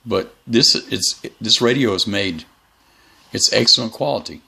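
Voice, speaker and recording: A middle-aged man with a low voice and a gravelly, crackling edge. He talks off the cuff like a hobbyist reviewer, rambling along in a folksy, opinionated way, and his tone is bright and forceful. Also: animated, a little slow. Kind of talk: casual unscripted product review. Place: room in a house.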